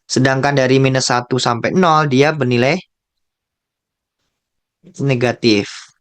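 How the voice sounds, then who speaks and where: A man with an Indonesian accent tutoring calculus, working slowly through a graph problem, repeating key terms and thinking aloud. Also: medium pitch, steady tone. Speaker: math tutor.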